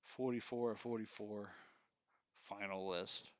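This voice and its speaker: A man's voice: fairly low pitch, steady tone, measured pace, a little hiss or hum. A man in his forties co-hosting a podcast, chatting casually with a joking, profane manner. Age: 40s. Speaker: podcast co-host. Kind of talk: casual chat.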